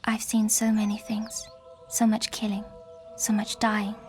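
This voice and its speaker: An actress playing an eerie blind seeress in a video game, grave and gentle, speaking in a breathy half-whisper with solemn foreboding. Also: female voice, mid-range voice, completely flat delivery, measured pace, lightly acted. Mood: eerie, sorrowful. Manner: solemn, prophetic. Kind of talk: video game cutscene dialogue. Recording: music behind the voice.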